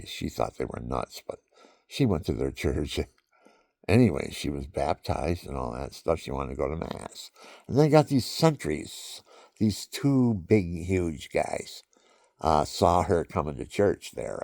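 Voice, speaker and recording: A man with a very low, gravelly voice drifts slowly from one family story to the next, circling back and repeating lines as he goes. The sound is close and dry, with no room around it. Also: a little mellow, no echo. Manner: meandering, repeats himself, chuckles at his own stories. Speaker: vlogger. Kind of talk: rambling personal storytelling.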